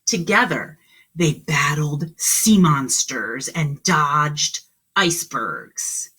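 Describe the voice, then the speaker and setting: A woman teacher reads to small children in a husky voice with very lively intonation. She takes her time, exclaiming, asking questions and explaining as she goes. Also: low voice, drawn-out words. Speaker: kindergarten teacher. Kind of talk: read-aloud to young children with explanations and questions.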